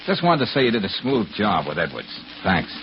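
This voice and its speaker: An actor with an American accent playing a brash, wisecracking private detective in old-time radio style, wry and forthright. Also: male voice, fairly low pitch, wildly swooping pitch, a little fast. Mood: teasing, casual. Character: brash, wisecracking male private detective, tough but teasing. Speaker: lead actor in a detective series. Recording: muffled, hissy old radio recording.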